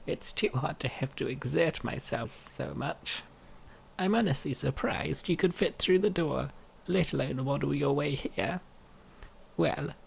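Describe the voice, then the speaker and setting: A man's voice: on the high side, vocal fry, a little hiss or hum. A man performing an acted reading of comic dialogue, voicing the characters as a dub actor.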